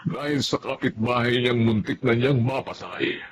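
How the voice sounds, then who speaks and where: An actor playing an older, apologetic employer in a Filipino radio drama. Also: male voice, mid-range voice, moderate pace, slight background noise, natural voice. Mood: somber. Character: older wealthy employer, remorseful father, polite and apologetic.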